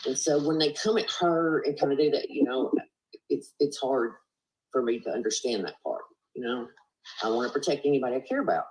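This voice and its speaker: A woman's voice: deep voice, poor audio. A woman with a Southern US accent talking earnestly on a group video call, rambling with lots of fillers and "you know". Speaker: panelist on a live stream call. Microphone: video call, laptop or phone mic.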